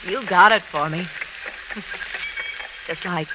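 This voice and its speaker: A woman's voice: on the low side, takes it slowly. Wistful American woman, hissy old radio. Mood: wistful, pleading. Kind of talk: 1940s radio drama acting. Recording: muffled, hissy vintage radio recording.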